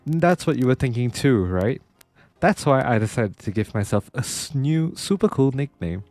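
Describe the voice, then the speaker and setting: A man's voice: mid-range voice, expressive, normal speed, a little mellow, no echo, faint music behind, natural voice. A man with a nasal voice reading the lines of a boastful, friendly young male prison inmate from a game. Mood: chummy boastful. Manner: boastful, chummy, showing off.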